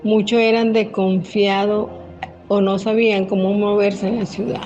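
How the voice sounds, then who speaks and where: Older woman, retired nurse, from the Venezuelan Amazon, calm measured narration, dull lo-fi sound, music underneath. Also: medium pitch. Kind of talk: scripted first-person narration.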